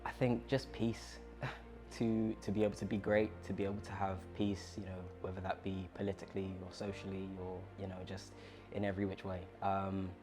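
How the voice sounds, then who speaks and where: A man's voice: low voice, level tone, fairly quick, few pauses, music in the background. A man from Bristol giving thoughtful, loosely phrased interview answers with many fillers. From Bristol, England.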